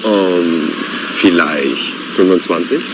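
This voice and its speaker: A man in his twenties playing a young man looking for a flat, polite and a little hesitant, in a scripted exam dialogue. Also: low voice, takes it slowly, background noise. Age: 20s.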